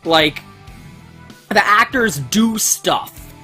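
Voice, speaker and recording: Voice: mid-range voice, animated, drawn-out words, bright, forceful tone. Swearing, ranting co-host over faint music. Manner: swears a lot, rants with heat. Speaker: let's play co-host. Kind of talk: casual chat while gaming.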